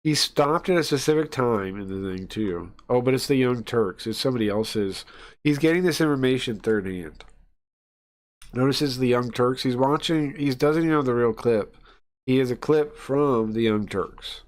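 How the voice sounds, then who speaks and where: A man's voice: mid-range voice, lively intonation, normal speed. A man speaking casually as a streamer, reacting live to a debate recording. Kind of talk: casual live commentary on a played clip.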